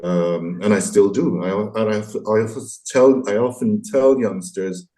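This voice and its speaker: Low-voiced, mellow man in his sixties. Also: fairly even intonation, unhurried. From France, partly raised abroad. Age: 60s. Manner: thoughtful, hesitant, self-deprecating, articulate. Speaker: interview guest, graphic novelist and illustrator. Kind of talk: reflective conversational interview answers.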